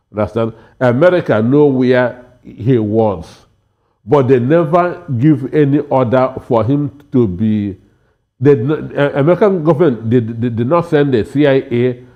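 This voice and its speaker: A man giving political commentary as a panel guest, halting and repeating words for emphasis, on dull lo-fi audio. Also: mid-range voice.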